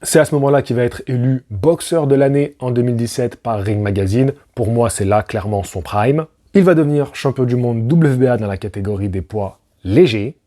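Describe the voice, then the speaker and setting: A man's voice: medium pitch, fairly quick, hardly any pauses, dark tone. A man hosting a casual boxing analysis, talking straight to the viewer with slangy asides and rhetorical questions. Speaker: boxing channel host.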